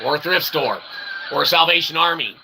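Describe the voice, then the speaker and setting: Guy streamer w crackly fry voice, goofy fake-formal rambling, sweary, noisy recording. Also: on the high side, moderate pace. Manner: mock-formal wordy phrasing mixed with crude swearing; punctuates statements with short tag questions. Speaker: solo streamer and channel host. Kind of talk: rambling comedic pseudo-philosophical monologue. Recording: clearly noisy, with ambient background sound.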